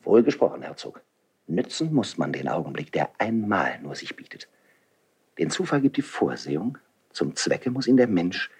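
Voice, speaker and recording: Idealistic nobleman, mellow and swooping. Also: sits low, sing-song, creaky, husky, heavily acted. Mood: musing, resolute. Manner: declamatory, impassioned, deliberate phrasing. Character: idealistic, proud, fervent nobleman, a male freethinker. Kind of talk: classical German stage verse radio drama.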